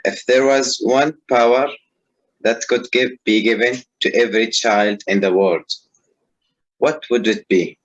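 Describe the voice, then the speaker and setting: A man's voice: medium pitch, steady tone, unhurried, highs cut off. A young man from Saudi Arabia, a student interviewer asking questions briefly and in a friendly way.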